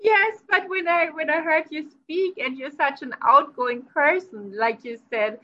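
A woman's voice: high voice, unhurried, highs cut off. A woman whose first language is German, hosting a conversational interview, enthusiastic and effusive with many "you know"s. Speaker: podcast host.

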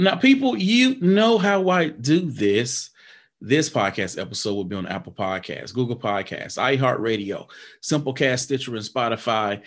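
Man, podcast host, casual rambling talk, fillers and restarts, medium pitch. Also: fairly bright tone. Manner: rambling, many fillers and restarts, upbeat pleading sign-off. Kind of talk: casual interview chat and show outro.

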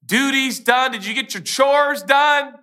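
A man voicing God as a nagging male boss who checks on chores, in a high, loud, mock-demanding voice. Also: a little slow, full character voice.

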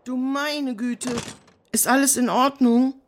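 A caring, fussing grown-up woman in a children's audio drama. The voice sits fairly high and carries motherly concern. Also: fairly even intonation, takes it slowly.

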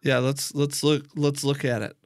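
A man's voice: medium pitch, little pitch movement, moderate pace, dry recording, clean studio sound. A man working as an interviewer, talking casually and conversationally as he thinks through his questions.

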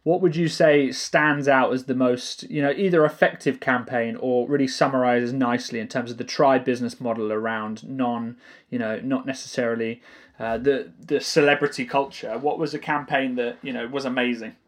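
A man hosting a podcast interview, asking halting questions with hesitations and restarts. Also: medium pitch, fairly even intonation. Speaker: podcast host.

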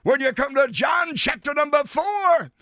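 A man preaching an impassioned evangelistic sermon on the radio, often shouting and drawing out key words, with repeated appeals to "dear sinner" and "my friend.". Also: very high voice, a little slow, no echo, clean studio sound.

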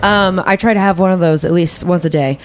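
A woman's voice: lowish voice, a little fast. A woman from Kentucky with an American accent, co-hosting a radio show in casual, informal and enthusiastic chat. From Kentucky, United States. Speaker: radio co-host.

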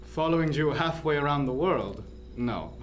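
A man playing a thoughtful ship's surgeon in a radio drama, guarded but tender, with formal, deliberate phrasing. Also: fairly high pitch, expressive, a little hiss or hum, natural voice. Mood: tender, hesitant.

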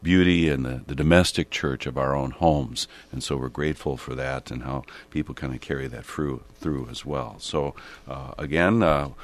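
A man with an American accent and a gravelly voice, talking reflectively and haltingly as a bishop hosting a radio show. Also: extremely low pitch. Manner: halting, meandering with frequent hesitations. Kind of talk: reflective, conversational radio talk.

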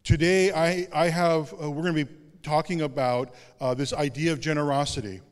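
A man's voice: fairly even intonation, moderate pace, smooth. A male pastor giving a sermon in a conversational, self-deprecating way with casual asides. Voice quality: deep voice. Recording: long hall reverb.

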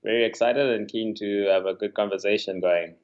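A man around thirty from Zimbabwe, a software engineer answering interview questions over a video call in a relaxed way, often hedging with "sort of" and "yeah.". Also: lowish voice, a little fast. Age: about 30. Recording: dull lo-fi call audio.

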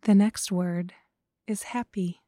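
Female meditation guide, very slow, hushed whispering delivery, warm and dark tone. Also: medium pitch, fairly even intonation, no room sound, clean studio sound. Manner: slow, soft, often whispered.

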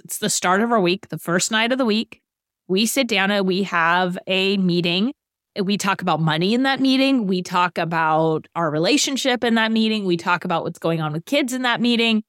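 A woman's voice: medium pitch, a bit bright, dry recording, clean studio sound. A woman in her late thirties co-hosting a podcast, explaining ideas and giving everyday examples in a casual way. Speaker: podcast co-host.

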